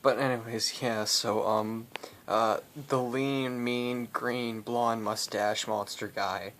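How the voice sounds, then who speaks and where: A man telling an improvised comic story in a halting, rambling way, as host among friends. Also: mid-range voice, level tone, fairly bright tone. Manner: rambling, halting, often breaking into laughter. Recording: noisy home recording with clicks.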